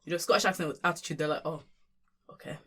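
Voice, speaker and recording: A woman's voice: low-pitched, racing, sharp tone. A young woman from Sudan, a guest and community worker, talking in a casual conversation with many fillers and restarts.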